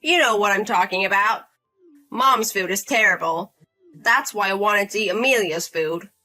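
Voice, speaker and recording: A woman's voice: mid-range voice, moderate pace, bright, forceful tone, faint music behind, close to an everyday voice, low-quality recording. A woman voicing an entitled, bullying older brother-in-law in his thirties who talks down to people and makes demands, in a voice-acted drama story. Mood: defensive. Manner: demanding, condescending, scolding.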